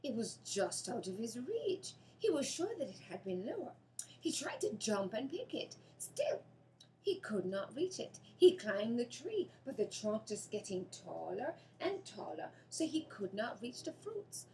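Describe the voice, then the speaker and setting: A woman's voice: on the high side, big pitch swings, a little slow, mellow, a little hiss or hum, low-quality recording. A Jamaican woman reads a children's story aloud, narrating expressively.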